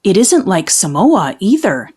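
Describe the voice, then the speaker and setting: Woman reading as a curious, animated storybook companion. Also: mid-range voice, a little slow, husky. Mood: curious, teasing. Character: inquisitive, chatty son and companion of a traveler.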